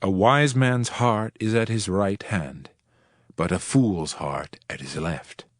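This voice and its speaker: A man playing a solemn ancient preacher-sage in a dramatized King James scripture reading, measured and reflective, with archaic diction. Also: deep voice, dry recording, clean studio sound. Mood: earnest, grave. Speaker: scripture reader in a dramatized audio Bible.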